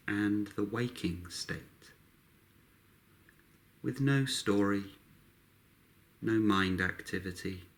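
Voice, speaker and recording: Slow, low-pitched male meditation guide. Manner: calm, unhurried, with long pauses between short phrases.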